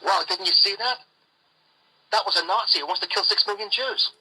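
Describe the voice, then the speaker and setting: Man playing a sharp, husky settler henchman. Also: high voice, huge pitch swings, a little fast, exaggerated voice, poor audio. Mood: defensive. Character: deferential, trigger-happy settler henchman.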